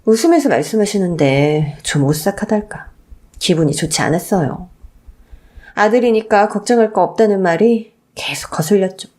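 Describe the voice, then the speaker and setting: A woman's voice: mid-range voice, expressive, normal speed, darkish tone. A woman reading a scripted first-person story as a narrator, in a confiding storytelling manner.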